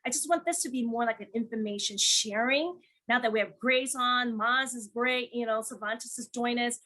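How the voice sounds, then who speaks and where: A woman's voice: fairly high pitch, bright, forceful tone, low-quality recording. A woman co-hosting a casual online group meeting, upbeat and eager as she talks. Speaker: meeting co-host. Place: online group video call.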